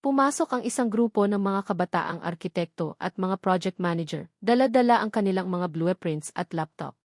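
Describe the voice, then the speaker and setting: A woman's voice: medium pitch, expressive, moderate pace, darkish tone, dry recording. Filipino woman narrating, close dry sound. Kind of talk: dramatic scripted story narration.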